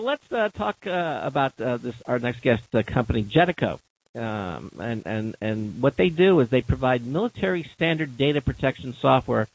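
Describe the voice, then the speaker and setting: A man's voice: medium pitch, no room sound, rough recording. A man hosting a talk radio show, rambling and hesitant with many restarts. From Carolinas, USA. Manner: rambling, full of fillers and restarts.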